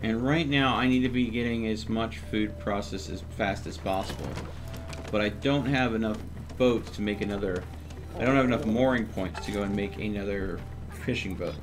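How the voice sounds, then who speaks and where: A man's voice: on the low side, normal speed, background noise. A man hosting a livestream, casually talking through his game step by step and chatting with viewers. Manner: thinks aloud step by step.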